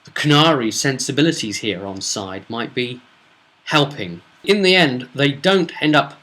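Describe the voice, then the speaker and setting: A man's voice: medium pitch, animated, measured pace, pushed and bright. A man chattily recapping a tabletop role-playing session as game master, telling it in a rambling, casual way.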